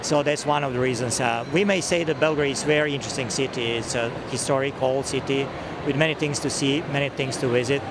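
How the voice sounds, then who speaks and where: A middle-aged man with a Serbian accent, a native Serbian speaker, promoting a race in careful, halting English in a busy indoor hall. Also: mid-range voice, steady tone. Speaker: race representative. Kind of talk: expo interview. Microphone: handheld mic held by interviewer. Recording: noisy expo hall background.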